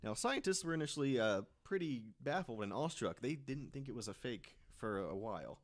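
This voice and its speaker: A man's voice: mid-range voice, big pitch swings, brisk. A man telling a story casually to friends as a podcast panelist, conversational and checking in with listeners as he goes.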